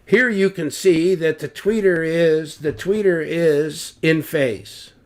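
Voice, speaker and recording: An older man slowly explaining loudspeaker measurements, talking deliberately with fillers and restarts, recorded at home on a webcam. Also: a little high. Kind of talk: technical explanation with drawings. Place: home living room, indoors.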